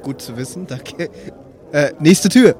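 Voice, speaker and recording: Male tabletop player, fairly high voice, blunt and colloquial, improvising his moves in casual chat. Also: expressive, unhurried, darkish tone. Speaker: player in tabletop role-playing game.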